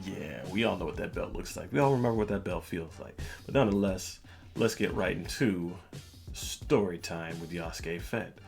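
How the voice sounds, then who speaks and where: A man hosting a podcast, telling a personal story in a casual, measured way with music playing behind the voice. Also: low-pitched, vocal fry, a little mellow. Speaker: podcast host. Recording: music bed under the voice. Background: background music.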